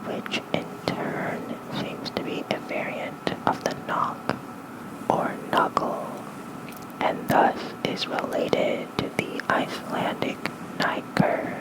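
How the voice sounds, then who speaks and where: An ASMR creator softly whispering a bedtime lore reading with casual asides, gentle and breathy, close on a fluffy-covered mic. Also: rough recording. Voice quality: soft breathy unvoiced whisper. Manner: slow, gentle, unhurried.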